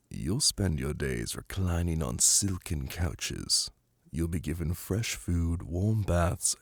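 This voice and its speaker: A man's voice: low voice, creaky, breathy edge, no echo, studio quality, exaggerated voice. A man playing a commanding, seductive demon prince in an ASMR fantasy roleplay, speaking in a silky, formal and flirtatious way. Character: commanding, seductive male demon prince, doting yet imperious.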